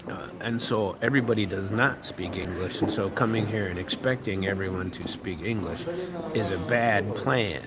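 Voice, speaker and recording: An American man and radio host telling a rambling story with many fillers and restarts. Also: fairly low pitch. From United States. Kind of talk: casual storytelling monologue. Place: restaurant or bar, eating while talking.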